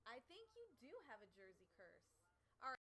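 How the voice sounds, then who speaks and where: A woman with a slightly raspy voice chatting casually and playfully in slang as a live stream host, full of exclamations. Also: on the high side, wildly swooping pitch, normal speed, sharp tone. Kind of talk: casual livestream chat.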